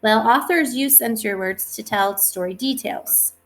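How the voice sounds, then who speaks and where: Higher-voiced woman teaching young children. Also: moderate pace. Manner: instructive, asks questions and answers them herself. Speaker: elementary school teacher. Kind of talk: read-aloud lesson for young children.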